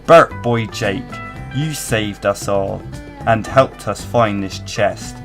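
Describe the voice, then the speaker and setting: A man's voice: fairly low pitch, slow pace, a bit bright. A hearty, grateful pirate from a children's storybook, acted out by a male narrator. He speaks emphatically in old seafaring style, taking his time over faint guitar. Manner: archaic pirate talk, emphatic.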